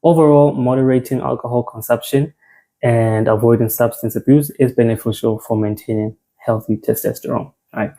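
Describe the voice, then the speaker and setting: A man's voice: medium pitch, steady tone, moderate pace, dark tone. A young South African man giving an informal men's health talk, conversational, repeating phrases and restarting words.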